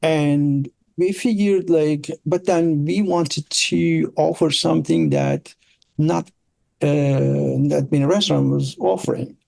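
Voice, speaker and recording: Gravelly middle-aged Turkish-accented man. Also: a little high, fairly even intonation, mellow, no room sound. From Turkey. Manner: halting, searching for words. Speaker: interview guest, product designer. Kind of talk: casual conversational storytelling.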